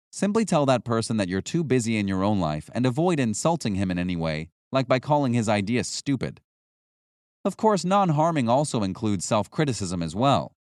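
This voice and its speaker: A man narrates a nonfiction audiobook in a dry, close recording. His mid-pitched voice moves through a wide range of intonation. The delivery is scripted, measured and instructional. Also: darkish tone, no room sound.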